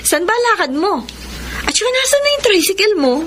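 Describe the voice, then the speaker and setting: An actress with a Filipino accent playing a gentle married working woman torn by secret love in a radio drama. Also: extremely high pitch, big pitch swings, brisk, slight background noise, heavily acted. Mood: pleasantly surprised. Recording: radio drama with music underneath.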